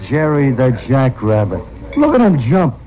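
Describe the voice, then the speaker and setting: A man's voice: medium pitch, wildly swooping pitch, moderate pace. An American man playing a bullying, menacing gambler in a radio western, with sneering, slangy tough talk. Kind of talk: 1940s-50s radio western acting. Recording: muffled, noisy old radio recording.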